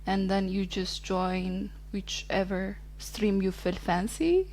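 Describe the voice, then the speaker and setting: A woman's voice: mid-range voice, steady tone, slow, darkish tone, low-quality recording. Easygoing woman in her twenties. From Ethiopia. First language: Amharic. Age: 20s. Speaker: podcast guest. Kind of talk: casual interview chat.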